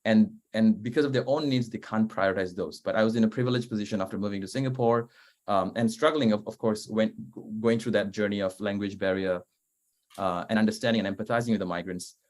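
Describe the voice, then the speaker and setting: A man's voice: fairly low pitch, fast talker, darkish tone. A young man, a startup founder, answering on a webinar panel in an earnest way with many hesitations. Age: young adult. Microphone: video call.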